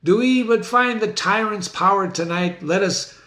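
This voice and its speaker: A man's voice: high voice, normal speed, pushed and bright, reverberant, pitched above the performer's own voice. A man playing a commanding young army leader in a Shakespeare play, rallying troops in a rousing, declamatory way. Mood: resolute, rousing. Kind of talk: dramatic amateur Shakespeare reading.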